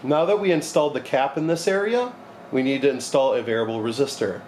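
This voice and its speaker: A young man with a mid-pitched voice gives a practical, informal tutorial. He explains each step in plain words, like a technician at the bench. The small workshop sounds echoey, with noise in the background. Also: brisk, darkish tone. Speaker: repair technician demonstrating a modification. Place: small cluttered electronics repair workshop, indoors.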